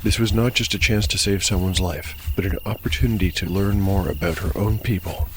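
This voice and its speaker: A man narrating a dark fantasy tabletop audiodrama in a measured, deliberate, scripted storytelling style. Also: deep voice, steady tone, a little hiss or hum, poor audio.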